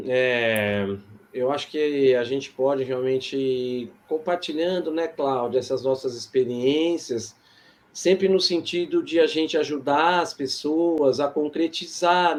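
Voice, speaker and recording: A Brazilian man hosts an informal livestream discussion. His voice sits at a medium pitch, and he explains things in a measured manner, breaking his phrases with pauses as he guides the panel. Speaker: host and moderator.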